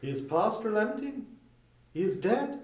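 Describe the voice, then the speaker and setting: A man's voice: high-pitched, sing-song, unhurried, roomy sound. A man playing a careworn, earnest young husband in a dramatic audiobook reading. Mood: grave.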